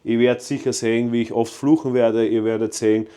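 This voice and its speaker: A man's voice: mid-range voice, little pitch movement, fairly quick, mellow, clean tone. A man with an Austrian accent talking casually to his viewers as a motorcycle vlogger, enthusiastic and rambling with fillers. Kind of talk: casual vlog talk to viewers.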